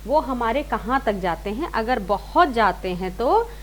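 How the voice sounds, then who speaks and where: Higher-pitched woman teaching singing. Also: expressive, a little slow, a little hiss or hum. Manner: explanatory, rephrases and repeats points, mixes in English words. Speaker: singing teacher, series host. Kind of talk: informal step-by-step music lesson.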